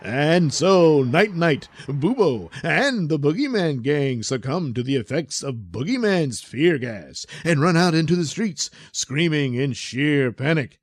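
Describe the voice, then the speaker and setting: A man's voice: on the high side, lively intonation, a little slow, hardly any pauses. A man narrating a comic audio play in a mock-dramatic comic-book style. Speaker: narrator and creator. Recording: noisy home recording.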